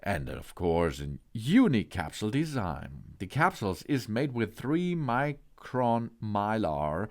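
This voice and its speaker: A man presenting a product walkthrough, partly reading out specifications, with halting phrasing and occasional stumbles. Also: fairly low pitch. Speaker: product reviewer. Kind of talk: product walkthrough, partly read from spec sheet.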